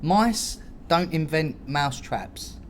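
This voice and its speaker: Casual male podcast co-host, slightly high voice, slangy and sweary, some background noise. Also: expressive, extremely slow. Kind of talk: casual comedic chat.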